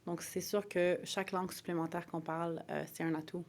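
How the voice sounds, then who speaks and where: A woman's voice: lowish voice, flat delivery, fairly quick, a little mellow. A woman from Montreal with a Quebec French accent, answering interview questions conversationally in a hesitant way, often repeating and rephrasing her words. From Montreal, Quebec, Canada. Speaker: interview guest, lawyer.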